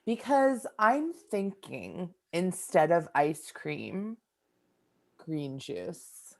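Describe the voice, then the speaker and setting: A woman's voice: on the low side, big pitch swings, a little mellow, full character voice. A woman playing a preachy wellness fanatic who lectures about juice. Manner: airy, preachy, drawn-out. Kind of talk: live improv comedy.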